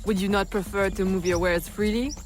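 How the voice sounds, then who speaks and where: An actress playing a determined, dry-witted woman assassin in a video game, measured and formal. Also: mid-range voice, steady tone, faint music behind, natural voice. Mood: composed, dry.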